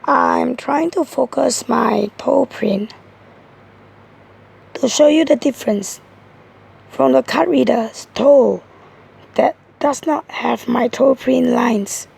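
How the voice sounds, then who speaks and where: A woman with a hoarse voice, giving a rambling, halting monologue as a tarot reader. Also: high voice, darkish tone. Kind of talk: casual tarot video monologue.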